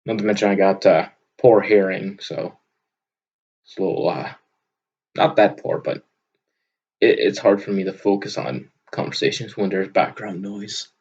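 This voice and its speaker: A young man from Vermont with a loud, booming voice, talking off the cuff in an unscripted audio log, rambling with lots of filler words. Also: moderate pace, pauses often, breathy edge, muffled recording. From Vermont, USA. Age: young adult. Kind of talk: unscripted casual monologue. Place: at his desk, indoors. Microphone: computer microphone on a desk.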